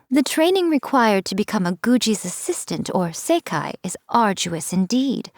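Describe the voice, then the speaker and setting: Actress playing a teasing fox-spirit shrine priestess, game character, wry and playful, mid-pitched, dry booth sound. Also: female voice, darkish tone, no echo, natural voice. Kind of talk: scripted game voice lines.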